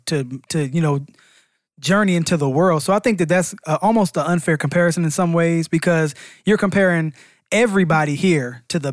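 A man's voice: on the high side, no echo, studio quality. A man in casual discussion, offering his views in a tentative, questioning way. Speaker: podcast panelist.

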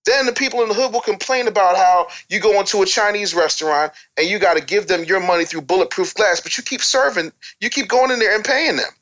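A man's voice: high-pitched, fast, few pauses, a bit bright. An American man talking as an opinionated guest on a casual talk show, emphatic and repeating phrases for effect like a storyteller. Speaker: interview guest.

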